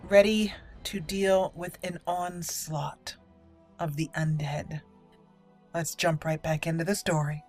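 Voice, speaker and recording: A woman hosting a gaming channel, giving roleplay commentary and musing aloud about the story. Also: mid-range voice. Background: game music.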